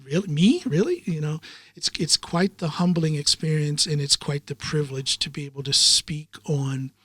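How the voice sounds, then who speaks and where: Male podcaster, reflective and rambling, fairly high register, dry close mic. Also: lively intonation, moderate pace, fairly bright tone, husky, no echo. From United States. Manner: rambling and reflective, many ums and uhs. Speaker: podcast host in the guest chair. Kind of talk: casual interview chat.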